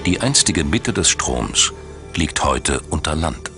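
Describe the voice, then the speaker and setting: A man's voice: a bass voice, sing-song, slow pace. A man reading scripted documentary narration as a studio voice-over. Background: music.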